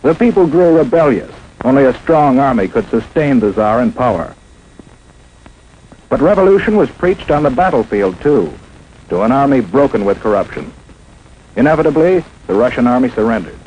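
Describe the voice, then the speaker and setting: A man's voice: medium pitch, big pitch swings, normal speed, a little mellow, slight background noise, poor audio. An American man narrating a 1950s instructional film in an emphatic, dramatic, scripted manner, on an old film soundtrack. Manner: emphatic, dramatic, rhetorical questioning.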